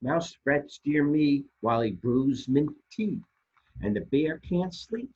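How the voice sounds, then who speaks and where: A man reading children's picture books aloud as a storytime host, expressively and deliberately, with dramatic pauses and a husky voice. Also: on the high side, animated, warm tone, highs cut off. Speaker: storytime reader for a library.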